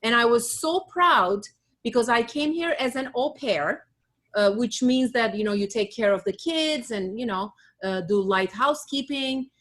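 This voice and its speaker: A woman's voice: fairly high pitch, measured pace, fairly bright tone. A woman from Turkey with a Turkish accent, telling her own story in casual chat as a podcast co-host, often laughing and restarting her sentences. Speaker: podcast co-host, beauty academy owner.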